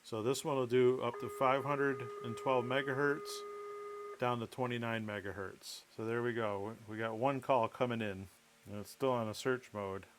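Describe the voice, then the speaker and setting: A man's voice: mid-range voice, steady tone, normal speed, slight background noise. A man explaining things step by step in a casual, matter-of-fact way, as in a hands-on how-to video. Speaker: presenter of a how-to electronics video.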